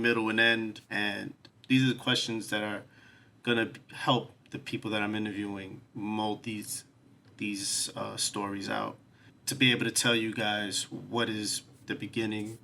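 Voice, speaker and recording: A man's voice: lowish voice, gravelly, pushed and bright, slight background noise. A man, hosting his podcast and talking earnestly about his life and the show in a casual, reflective way. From New York City. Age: 40s. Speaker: podcast host. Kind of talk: solo podcast introduction.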